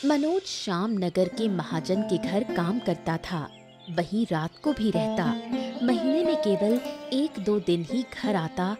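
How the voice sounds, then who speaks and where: A woman's voice: medium pitch, animated, takes it slowly, loud music behind the voice. A woman reading scripted narration for a story.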